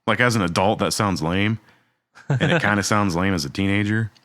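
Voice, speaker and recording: Podcast co-host, male, thirties: low and bright, rambling and laid-back, dry close recording. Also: brisk, no room sound. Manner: relaxed, rambling, many fillers and restarts. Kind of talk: casual chat.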